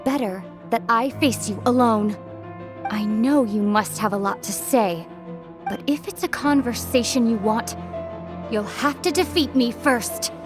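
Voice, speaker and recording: Woman doing a cool calm fighter lady, firm and formal, music playing. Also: a little high, unhurried, lightly acted. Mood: resolute. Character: cool resolute female warrior challenger.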